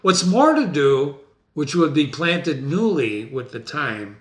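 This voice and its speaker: A man's voice: on the high side, sing-song, takes it slowly. A man playing a gracious, resolute new king in a formal, oratorical Shakespeare reading. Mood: stately resolve. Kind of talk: dramatic reading of a Shakespeare play. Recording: echoey room.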